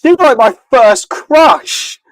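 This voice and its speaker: A man's voice: very high voice, animated, slow, a bit bright, no room sound. A man chatting casually as a podcast co-host, swearing freely with crude, self-deprecating humour. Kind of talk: casual chat, watch party banter.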